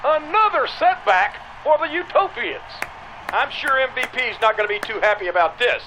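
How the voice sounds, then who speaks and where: A man acting as an excitable wrestling commentator in a video game, with dramatic, exclamatory calls. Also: very high-pitched, normal speed, a little hiss or hum, heavily acted, low-quality recording. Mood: excited. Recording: loud music and roaring arena crowd.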